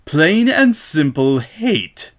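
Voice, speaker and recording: Man as a seething, high-pitched unicorn shopkeeper lady. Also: big pitch swings, drawn-out words, full character voice. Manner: seething, spitting words through clenched teeth. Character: furious, haughty female unicorn shop owner.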